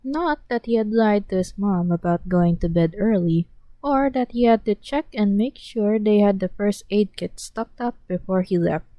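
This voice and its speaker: A woman's voice: mid-range voice, normal speed, no echo, muffled recording. A woman reading a fanfiction story aloud as an audiobook, earnest, with occasional small stumbles and self-corrections. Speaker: podfic reader.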